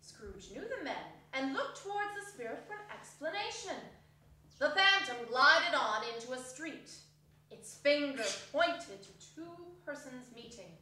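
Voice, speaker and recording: A woman's voice: high voice, lively intonation, measured pace, bright, forceful tone. A woman narrating a story as a theatrical dramatic reading. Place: indoor arts center hall, live performance. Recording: distant echoey hall, faint noise.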